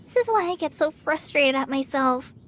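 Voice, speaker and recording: A woman's voice: high-pitched, expressive, normal speed, a bit bright. An actress voicing a timid, self-doubting teenage girl in a video game, sincere and unsure of herself. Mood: anxious.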